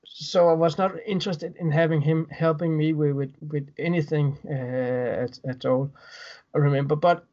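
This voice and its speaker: A man's voice: fairly high pitch, steady tone, normal speed, a little mellow. A man in his thirties from Denmark with a Danish accent, speaking as a guest in a casual video-call interview and often repeating words as he recounts. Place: home room indoors. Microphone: webcam on video call. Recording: dull lo-fi video call audio.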